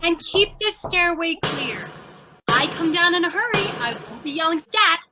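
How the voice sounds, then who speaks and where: A woman's voice: very high-pitched, lively intonation, normal speed, background noise. A woman playing a scatterbrained, chatty, eager young lady reporter, earnest and theatrical, in old-time radio crime comedy style. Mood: breezy, oblivious.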